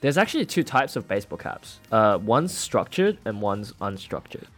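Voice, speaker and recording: A man co-hosting a podcast, chatting casually and explaining things in a relaxed way with lots of likes and yeahs. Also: fairly low pitch, normal speed, faint music behind. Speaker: podcast co-host, English speaker. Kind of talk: casual conversational chat. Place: studio in Sydney.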